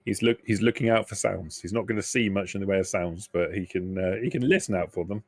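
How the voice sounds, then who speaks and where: Male game master, low voice, hesitant explainer, dry close sound. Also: fast, dry recording. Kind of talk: narrating a tabletop roleplaying game.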